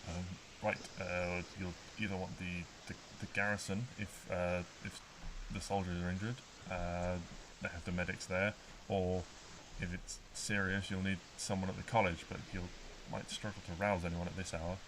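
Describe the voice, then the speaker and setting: A man's voice: deep voice, little pitch movement, moderate pace, background noise. A man narrating a tabletop game as game master, with a hesitant delivery full of ums and restarts.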